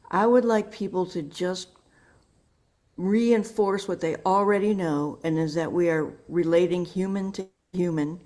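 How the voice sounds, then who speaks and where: Lower-voiced American woman, deliberate phrasing. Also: warm tone. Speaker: musician, guest. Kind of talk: casual interview talk.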